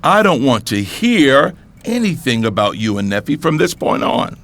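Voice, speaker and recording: A man's voice: medium pitch, big pitch swings, normal speed, fairly bright tone. A man playing a stern, middle-aged, domineering father of a wealthy family in an audio drama, with a commanding, emphatic delivery. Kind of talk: dramatized audio fiction acting.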